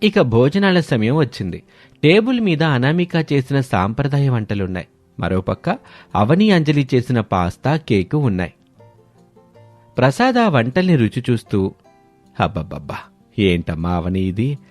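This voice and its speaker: A man's voice: medium pitch, very animated, moderate pace, a little mellow, no room sound. A man narrating a scripted dramatic family story. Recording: studio voice over background music.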